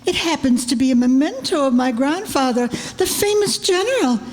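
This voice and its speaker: A woman's voice: sits high, animated, moderate pace, a little mellow. A woman playing an imperious, wealthy old aunt in an old-time radio drama, haughty and domineering. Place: live stage show in a hall. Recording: echoey hall, long reverb.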